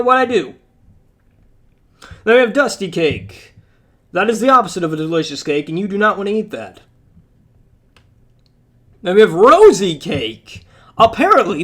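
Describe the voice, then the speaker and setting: A man's voice: on the high side, fairly bright tone. A young man chatting casually about a mobile game, rambling with lots of fillers while his voice is going out. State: losing his voice.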